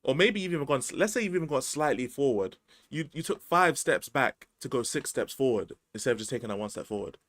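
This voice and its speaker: A man's voice: mid-range voice, expressive, fairly quick, a bit bright, no echo. A man, chatting casually about football as a podcast host, slangy and rambling. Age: 20s.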